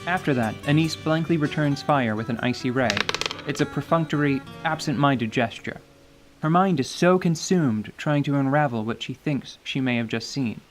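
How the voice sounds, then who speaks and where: Man, narrator, scripted storytelling, measured pace, faint music behind. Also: mid-range voice. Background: light music.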